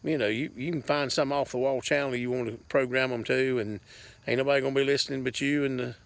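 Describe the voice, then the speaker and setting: A man's voice: mid-range voice, fairly even intonation, quick pace, gravelly, no room sound. A man gives a casual, rambling update to viewers in a folksy, plainspoken way, speaking outdoors into a handheld camera. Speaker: farm vlogger. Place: outdoors on a farm.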